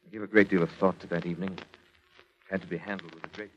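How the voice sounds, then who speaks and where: Deep-voiced, coolly controlling husband, old radio. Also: male voice, steady tone, fairly bright tone, slight background noise. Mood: coolly reflective. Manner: measured, formal, deliberate. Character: controlling, coolly calculating husband narrating his story. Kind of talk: 1940s radio drama acting, first-person narration. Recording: dull vintage 1940s radio recording, music behind.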